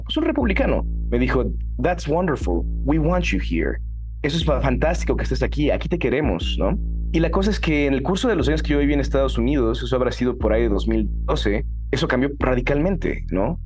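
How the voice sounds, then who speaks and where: A Mexican man in his thirties speaks with a Mexican accent in an interview. His delivery is candid and reflective, with lively swings in pitch. Faint music plays underneath. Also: rough recording. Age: 30s. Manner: candid, animated, frequent tag questions. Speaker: interview guest, writer.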